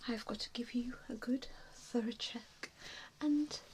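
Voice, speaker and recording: A woman performing a softly spoken ASMR roleplay as a gentle, reassuring vampire mentor, with a soothing, hesitant manner. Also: on the high side, measured pace, slight background noise, low-quality recording.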